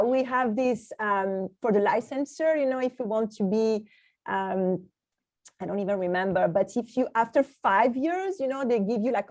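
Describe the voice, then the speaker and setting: A woman from France speaks English with a French accent in a fairly high voice. Her delivery is conversational and emphatic, like a language teacher sharing her own experience in a webinar. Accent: French, Parisian. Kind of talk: conversational webinar talk with personal anecdotes.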